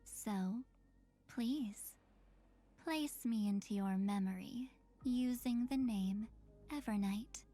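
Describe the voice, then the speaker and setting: An actress playing a mysterious, elegant young woman in a video game, speaking in an intimate, breathy, enigmatic way. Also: fairly high pitch, takes it slowly, long pauses, mellow. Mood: soft, enigmatic. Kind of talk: video game character voice lines.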